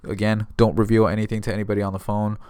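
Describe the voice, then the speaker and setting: A man's voice: fairly low pitch, level tone, rapid-fire, a little mellow, clear, resonant tone, no echo, clean studio sound. An American man talking casually to viewers as a notary giving advice, explaining things in a conversational way by retelling exchanges. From San Diego area, California. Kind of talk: casual instructional vlog talk.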